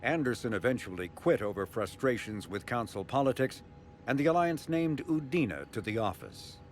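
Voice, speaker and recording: Lore narrator: male, mid-pitched, measured and factual, soft music underneath. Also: expressive. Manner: formal, measured, informative. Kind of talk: scripted encyclopedic narration. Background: faint music.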